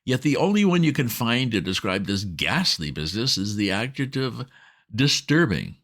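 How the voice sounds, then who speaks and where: A man's voice: medium pitch, sing-song, fairly bright tone, pitched above the performer's own voice. Guy doing a frazzled posh young gent, squawky outbursts, swoopy voice. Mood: sarcastic. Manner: exclamatory, indignant, rapid outbursts. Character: flustered young English gentleman, excitable.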